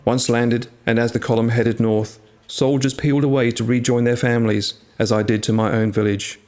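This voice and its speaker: A man reading a scripted first-person tale in a measured, literary storytelling manner. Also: medium pitch, little pitch movement, darkish tone. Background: music.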